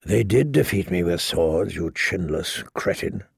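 A man voicing a cocky, cruel highborn knight with a mocking, sneering drawl for an audiobook. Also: lively intonation, a little slow, vocal fry, a little mellow, breathy edge, no echo, clean studio sound, full character voice.

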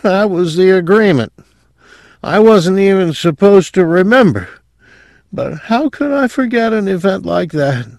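Man doing a drugged, high-pitched mumbling man. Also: animated, measured pace, vocal fry, a little mellow, dry recording, clean studio sound, heavily acted. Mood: dazed. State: drug-sedated, drowsy. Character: drugged, half-conscious man mumbling secret memories of aliens.

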